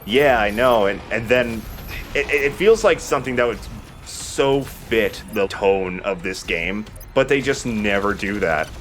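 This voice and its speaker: A man's voice: lowish voice, a little slow, fairly bright tone, slight background noise. A man giving casual commentary as he plays a video game, chatting and swearing lightly. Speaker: let's play host playing the game. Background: video game sound.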